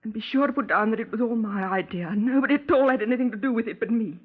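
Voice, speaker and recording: An actress playing a distraught, self-pitying middle-aged wife making a halting, insistent confession in a 1950s radio crime drama. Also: female voice, on the high side, lightly acted. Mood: agitated, defiant. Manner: halting, brooding, insistent, with rhetorical tag questions. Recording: muffled, lo-fi 1950s radio recording.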